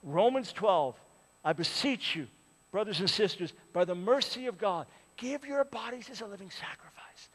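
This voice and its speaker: A man's voice: high-pitched, lively intonation, normal speed, long reverb tail, a little hiss or hum. Impassioned preacher in his sixties. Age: 60s. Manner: impassioned, swinging from loud appeals to hushed pleading. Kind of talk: sermon. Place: large church with a balcony.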